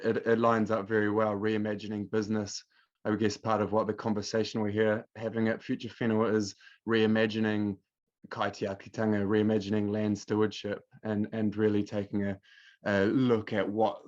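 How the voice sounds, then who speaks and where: Male host, flat delivery, halting, dull lo-fi audio. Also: fairly low pitch. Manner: halting, searching for words. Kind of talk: interview questions.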